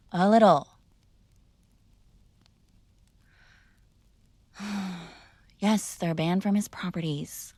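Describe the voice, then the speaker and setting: A woman's voice: mid-range voice, no echo, natural voice. Woman acting defiant mob boss's daughter, close dry sound. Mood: defensive, exasperated. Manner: conversational, sighing, coaxing and argumentative. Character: spoiled, defiant young adult mob boss's daughter, bargaining and exasperated. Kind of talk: ASMR girlfriend roleplay, one-sided conversational acting.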